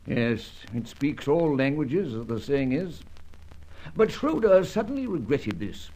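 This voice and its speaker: Hearty old British spymaster, swooping husky voice. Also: male voice, medium pitch, sing-song, measured pace, a little mellow, low-quality recording. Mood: grave. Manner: plummy, clubby old-school speech. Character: older British secret service chief, hearty and avuncular. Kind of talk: old-fashioned radio serial acting.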